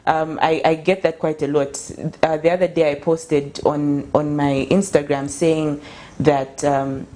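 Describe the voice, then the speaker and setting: A woman's voice: deep voice, little pitch movement, unhurried, mellow. A young Zambian woman, an author guest, talking earnestly and passionately in a casual interview, with frequent restarts. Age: young adult.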